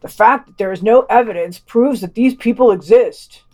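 A woman's voice: a little high, normal speed, dark tone. A woman hosting a podcast, reading scripted news items and adding dry, wry asides.

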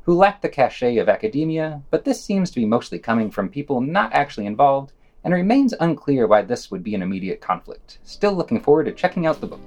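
A man's voice: mid-range voice, big pitch swings, fast talker, hardly any pauses, a little mellow. A man reading a scripted news segment for a podcast.

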